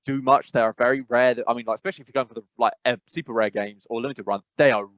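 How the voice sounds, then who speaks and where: Male podcast co-host, mid-pitched, chatty and rambling, dry dull recording. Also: very fast, a bit bright, no room sound. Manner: rambling, opinionated, many restarts. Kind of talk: casual podcast chat.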